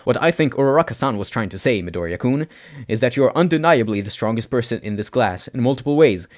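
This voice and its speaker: A man's voice: mid-range voice, animated, fast, lightly acted. A man voicing a serious, blunt teenage boy who talks in a formal, precise way in an audio story.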